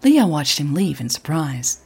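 A woman reading scripted audio drama narration in a husky voice. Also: sits low, big pitch swings, a little slow, a little mellow.

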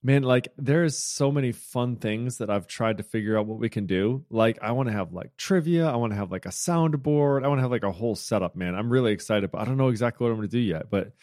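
A man's voice: medium pitch, fast talker, hardly any pauses, a little mellow, dry recording, studio quality. An American man in his thirties co-hosting a podcast in loose, rambling chat full of fillers, talking into wireless earbuds. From United States. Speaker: podcast co-host.

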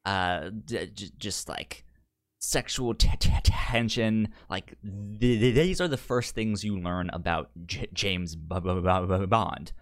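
A man co-hosting a casual film discussion podcast, speaking with a pronounced stutter that repeats sounds and syllables in a halting delivery. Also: sits low. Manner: halting, hesitant delivery with frequent restarts. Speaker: podcast co-host.

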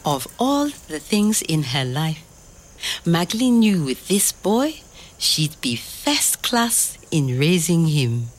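Woman acting a husky, expressive grandmother storyteller. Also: low voice, full character voice. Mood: tender. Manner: measured, expressive, tender. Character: loving, firm grandmother telling her grandson a bedtime story. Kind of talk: scripted dramatic story narration.

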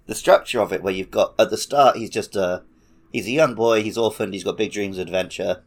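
A man chatting casually as a podcast host, telling stories in an animated, laughter-prone way. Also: fairly low pitch, a little fast. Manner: animated storytelling with frequent laughter. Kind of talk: casual chat.

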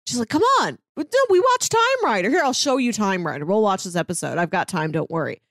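A woman co-hosting a podcast, chatting casually and retelling a show in an animated way, acting out bits of dialogue. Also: mid-range voice, a little fast, no room sound, studio quality. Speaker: podcast co-host. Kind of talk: casual chat recapping a TV show.

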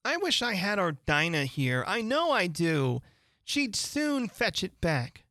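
A woman's voice: mid-range voice, very animated, takes it slowly, fairly bright tone, dry recording, studio quality, pitched above the performer's own voice. A woman voicing an earnest, chatty, polite little girl in a storybook, with an eager, pleading manner.

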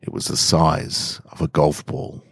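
A man hosting a podcast and reading literary stories in a measured, deliberate way. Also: a bass voice, level tone, creaky, fairly bright tone, slightly rough, no room sound, studio quality. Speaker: podcast host and story reader. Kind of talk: literary story narration and podcast outro.

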